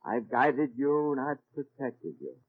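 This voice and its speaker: An actor playing a calm, knowing guardian angel with measured assurance in 1940s radio comedy. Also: male voice, medium pitch, narrow, tinny audio. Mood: assured. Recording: thin, band-limited 1940s radio recording.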